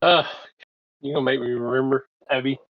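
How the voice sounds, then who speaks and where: A man's voice: mid-range voice, fairly even intonation, fairly quick, no room sound. A man playing a tabletop game, talking casually in and out of character on a slightly lo-fi recording. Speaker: player in a tabletop roleplaying game.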